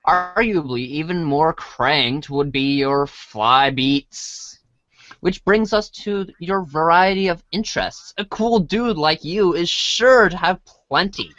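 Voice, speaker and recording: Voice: medium pitch, takes it slowly, fairly bright tone, no echo, lo-fi sound. A person reading a comic script aloud as storybook narration, sometimes stumbling over lines and apologizing. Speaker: reader of a read-along storybook show.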